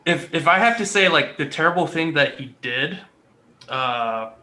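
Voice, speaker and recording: A man's voice: on the high side, expressive, moderate pace, pushed and bright. A man talking casually as a podcast panelist, pressing his points insistently.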